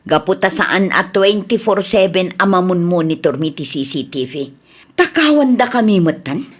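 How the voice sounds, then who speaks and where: A woman's voice: lowish voice, expressive, normal speed. A woman playing a stern, suspicious female authority figure with an Ilocano Filipino accent, questioning bluntly in a radio drama. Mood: stern, accusing.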